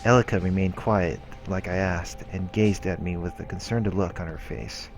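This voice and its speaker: A man with a deep voice reads visual novel narration aloud as a let's play host. His delivery is measured, with soft game music behind him. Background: game background music.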